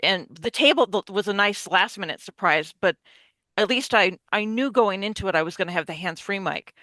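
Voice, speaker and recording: A woman giving measured, tactful feedback in a casual group discussion. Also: mid-range voice, pushed and bright, no room sound, rough recording. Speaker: radio play narrator and panelist.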